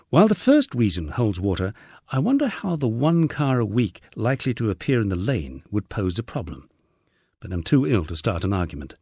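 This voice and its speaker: A man narrates a memoir in a lively, varied delivery with wry understatement. His voice sits fairly low and has a husky grain. The sound is dry and close, suited to an audiobook. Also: dry recording. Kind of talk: scripted first-person memoir narration.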